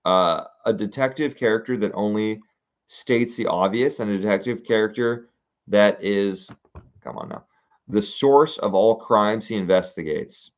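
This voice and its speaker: A man's voice: fairly low pitch, dull audio. A young man hosting a casual livestream, reading out viewer suggestions and thinking aloud with fillers and restarts. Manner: musing aloud, reading comments, halting with fillers.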